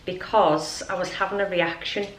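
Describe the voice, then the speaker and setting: A woman's voice: on the low side, steady tone, moderate pace, slight background noise, low-quality recording. A middle-aged woman chatting informally and ramblingly to camera as a lifestyle vlogger. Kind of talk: casual chatty vlog to camera. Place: at home.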